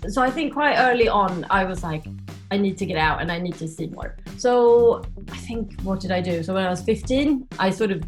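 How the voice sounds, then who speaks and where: A woman's voice: medium pitch, animated, moderate pace, soft background music. A Swedish-raised woman in her forties, a podcast guest chatting casually about her life and laughing often as she tells stories. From Sweden. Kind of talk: casual interview chat.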